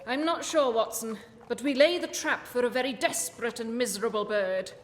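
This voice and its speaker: Woman doing a posh-worded victorian detective, high voice, brisk n commanding, echoey hall. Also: a little hiss or hum, close to an everyday voice. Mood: urgent, grave. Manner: formal, precise, commanding. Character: Victorian gentleman detective, brisk, shrewd and articulate. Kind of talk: comic radio play acting. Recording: long hall reverb.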